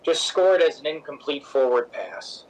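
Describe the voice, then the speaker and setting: An actor playing a wry, candid, self-assured stage director in a radio drama, speaking plainly. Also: male voice, on the high side, sing-song, normal speed. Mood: wry, rebuffing. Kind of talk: old-time radio drama acting. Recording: slightly dull, lo-fi sound.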